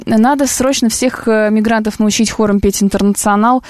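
A woman hosting a live radio talk show in a studio, reading listener messages briskly with frequent fillers. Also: a little high, fairly even intonation, a little mellow, no room sound. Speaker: radio host.

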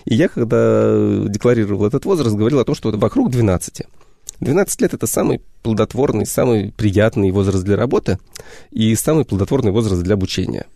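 Mellow, low-voiced man searching for words. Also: expressive, fairly quick, vocal fry, no echo, studio quality. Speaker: history educator, guest. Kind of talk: radio interview. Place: radio studio.

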